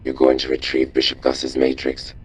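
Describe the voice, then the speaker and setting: A man playing a grave, commanding robot mission controller in a video game, terse and deadpan as he gives briefings. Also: extremely low pitch, normal speed, noisy background. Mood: instructive.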